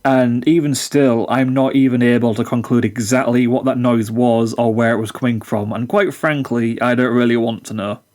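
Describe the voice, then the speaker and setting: A man's voice: medium pitch, a little mellow. A young adult man recounts a personal ghost story in a conversational, reflective and slightly rambling way. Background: background music.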